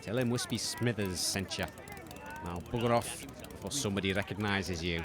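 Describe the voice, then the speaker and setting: A man playing a shifty, grudging wasteland market trader in a comic audio drama, gruff and dismissive. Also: lowish voice, moderate pace, lightly acted. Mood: knowing, dismissive. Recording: busy outdoor crowd and vehicle sounds. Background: street crowd bustle.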